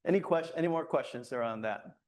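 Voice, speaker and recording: A man's voice: medium pitch, fairly quick, a little mellow. A man speaking as a guest at a photography club meeting, talking loosely with many fillers and restarts. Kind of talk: presentation with audience questions. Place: meeting room.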